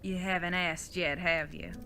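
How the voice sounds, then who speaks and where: A woman's voice: fairly low pitch, steady tone, unhurried, bright, forceful tone, soft background music. A woman playing an older retired outlaw mother in an audio drama, folksy, plain-spoken and teasing, with affection underneath. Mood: teasing, wry.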